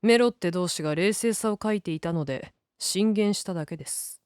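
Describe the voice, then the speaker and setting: A woman's voice: fairly low pitch, brisk, a little mellow, clean tone, no echo, clean studio sound, natural voice. A woman playing a proud, insecure young male knight-keeper in a fantasy drama, formal and defensive. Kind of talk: dramatic scripted voice acting.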